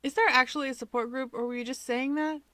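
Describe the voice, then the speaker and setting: A woman's voice: high voice, fairly even intonation, quick pace, sharp tone, no echo, voice pushed up. A woman voicing an exasperated, anxious young male superhero who questions everything in an incredulous, sardonic way.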